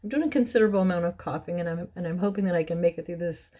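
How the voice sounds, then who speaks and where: Middle-aged woman, hoarse and raspy, low mellow tone, talks through a casual Bible study. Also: very fast. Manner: warm, chatty, with restarts and soft laughs. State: hoarse from bronchitis, clearing her throat.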